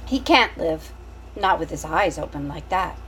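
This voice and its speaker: A woman's voice: low-pitched, expressive, a little slow, a bit bright, a little hiss or hum, voice pushed down, rough recording. Woman doing a blunt father mouse. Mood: grim. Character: gloomy practical father mouse.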